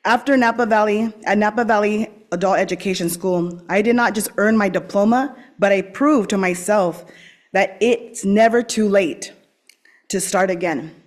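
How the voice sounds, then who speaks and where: A woman's voice: medium pitch, moderate pace. An adult woman, a recent graduate, reading a sincere, personal speech at a school board meeting. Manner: sincere, personal, reads steadily. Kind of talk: prepared graduation-style speech.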